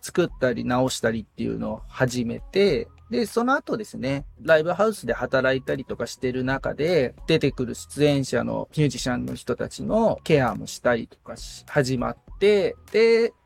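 A man's voice: mid-range voice, sing-song, normal speed, gravelly, hardly any pauses, slight background noise. A man around forty talking as a podcast guest in a thoughtful, explanatory way, with tentative phrasing in a casual interview. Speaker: podcast guest, guitar repair technician.

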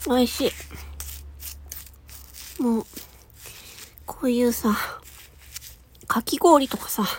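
A woman with a high voice shares a casual ASMR food tasting. She talks very unhurriedly in short, murmured remarks, with pauses for mouthfuls and some near-whispered words. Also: slightly rough. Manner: talks while eating ice cream. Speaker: audio streamer.

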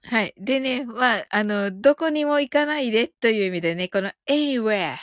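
A woman's voice: fairly high pitch, animated, normal speed, no room sound, studio quality. A woman hosting a casual live audio stream, chatting playfully with listeners, giggly and often repeating phrases with amusement. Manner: giggly, breaks into frequent laughter.

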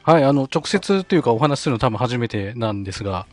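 A man's voice: medium pitch, very animated, fast, soft background music. A man chatting casually as a guest on a group live stream, polite, modest and apologetic.

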